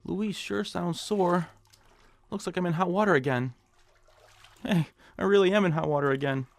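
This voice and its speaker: A man's voice: sits high, normal speed, vocal fry, no echo. A man in a comic audio drama playing a whiny, meek, hypochondriac office worker who speaks hesitantly. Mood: whiny, sulky.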